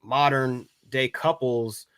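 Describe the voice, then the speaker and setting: A man with a medium voice comments as a podcast co-host. He explains his points in casual, halting phrases, recorded dry and close. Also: mid-range voice, a bit bright, clear, resonant tone, no room sound.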